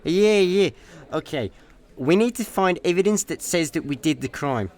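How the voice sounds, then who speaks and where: A man's voice: sits high, animated, normal speed, a little mellow. A Scottish man playing a bumbling, chatty space layabout in a comedic radio play, rambling and exasperated. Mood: clueless, puzzled.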